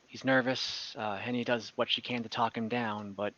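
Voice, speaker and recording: A cynical female ex-bandit played by a man in a tabletop roleplaying game. The voice is forward and bright, halting and world-weary, through dull online-call audio. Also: mid-range voice, fairly even intonation, lightly acted. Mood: matter-of-fact.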